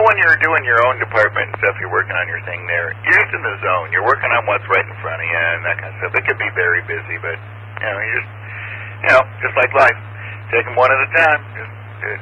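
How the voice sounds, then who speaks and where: A man's voice: lowish voice, bright, forceful tone. A man checking in on amateur radio with casual, folksy, rambling chat, heard through a two-way radio. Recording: faintly noisy amateur radio transmission.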